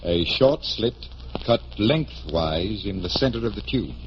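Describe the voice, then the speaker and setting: An actor playing a confident magician detective, explaining with assured showmanship in 1940s radio style. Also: male voice, on the low side, animated, a little slow. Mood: patient explaining. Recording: muffled, noisy vintage radio recording.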